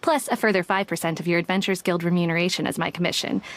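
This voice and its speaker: A woman playing a calm, composed female investigator in a game, speaking in a measured, formal, coolly persuasive way over game music. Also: fairly low pitch, close to an everyday voice. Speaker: bounty-setting official. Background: game score.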